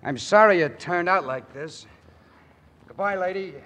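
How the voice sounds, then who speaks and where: An actor playing a nervous, self-doubting young soldier with plain colloquial speech in a 1940s radio drama. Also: male voice, high voice, a little slow, pushed and bright. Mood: dejected. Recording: vintage 1940s radio broadcast.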